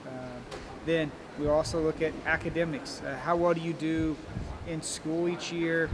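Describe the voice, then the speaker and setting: Brief: male college coach, plain and encouraging, a bit higher pitched, taped outdoors near traffic. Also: little pitch movement, moderate pace. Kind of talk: interview answers. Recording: noisy outdoor recording.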